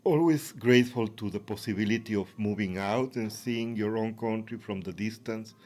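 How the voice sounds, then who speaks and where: Low-voiced man with Ecuadorian Spanish accent. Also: moderate pace, darkish tone. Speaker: academic guest, professor. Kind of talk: reflective interview answers.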